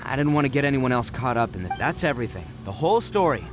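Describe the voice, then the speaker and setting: A man's voice: mid-range voice, very animated, fast talker, over music, close to an everyday voice. An actor playing a proud, big-hearted young male oni gang boss in a video game, earnest and brash in his telling. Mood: proud, serious.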